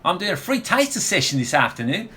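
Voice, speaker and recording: Ator fazendo um jovem surfista galanteador, entonação muito variada. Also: male voice, sits high, very animated, fast, bright, forceful tone, heavily acted. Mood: flirty. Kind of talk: audio comedy radio play acting.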